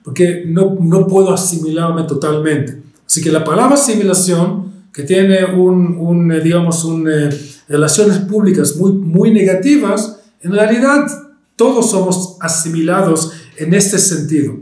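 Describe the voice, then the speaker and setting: An Israeli historian with a Spanish accent picked up in Argentina, lecturing and pausing often with fillers and restarts. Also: male voice, sits high, a little mellow, roomy sound. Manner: hesitant, many fillers and restarts.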